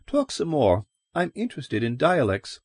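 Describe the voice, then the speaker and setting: A man's voice: medium pitch, wildly swooping pitch, normal speed, husky, no room sound, pitched above the performer's own voice. A man voicing a curious, teasing young woman.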